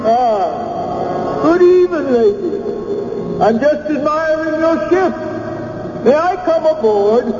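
A woman's voice: high-pitched, lively intonation, slow pace, pauses often, dark tone. An actress playing the ghost of a crafty, pleading female pirate in an old-time radio drama, with theatrical, archaic seafaring speech. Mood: sly, commanding. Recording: muffled, echoing old radio broadcast with music.

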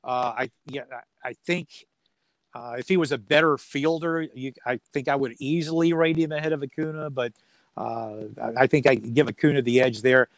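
A man's voice: medium pitch, steady tone, moderate pace, no echo. A man speaking as a sports announcer being interviewed, chatting casually in a folksy, joking way with dry humour. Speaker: radio sports play-by-play announcer as interview guest.

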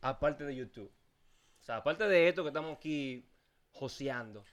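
A man's voice: medium pitch, animated, a crowd in the background. A man with a Dominican Spanish accent, asking questions and laughing often in a casual group chat. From Dominican Republic. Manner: laughs often, quick interjections. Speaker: show co-host asking questions.

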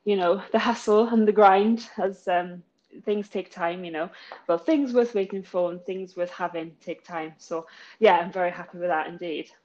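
A woman's voice: fairly low pitch, moderate pace, darkish tone, dull audio. Bubbly Welsh woman in her thirties. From South Wales. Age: 30s. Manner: bubbly, giggly, lots of fillers. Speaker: interviewee, new model. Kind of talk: casual interview chat.

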